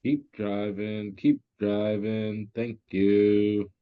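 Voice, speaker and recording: A man's voice: lowish voice, level tone, drawn-out words, clean tone. A man playing a surly, foul-mouthed getaway driver in a comedy table read, on dull lo-fi audio. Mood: surly, threatening.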